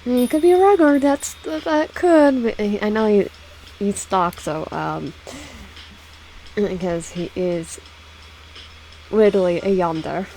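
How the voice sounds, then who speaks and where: A woman's voice: medium pitch, a little slow, long pauses, warm tone, a little hiss or hum, low-quality recording. A woman casually reading a visual novel game aloud with personal asides, often stumbling over words and repeating phrases. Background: background music.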